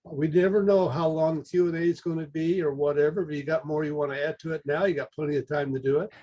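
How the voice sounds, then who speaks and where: A man with a husky voice moderating an online meeting in a casual, folksy way. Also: a little high, fairly even intonation, quick pace, dark tone, highs cut off, slight background noise. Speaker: session moderator.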